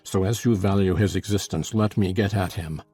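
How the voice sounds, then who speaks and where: A man playing a furious, violent, brooding man in a dramatized classic novel, his voice rough and husky. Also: sits low, normal speed, dark tone, dry recording.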